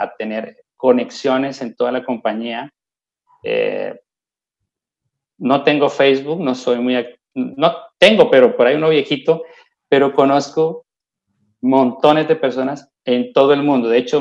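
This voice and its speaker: A man's voice: medium pitch, creaky, a little mellow. A Colombian man, a podcast guest, answering casually and modestly with many fillers over dull, lo-fi call audio. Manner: self-deprecating, chatty, many fillers.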